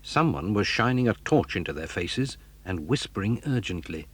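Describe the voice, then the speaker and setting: A man's voice: lowish voice, very animated, normal speed, slightly rough. A man narrating a children's adventure drama as a dramatic, scripted storyteller. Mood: suspenseful.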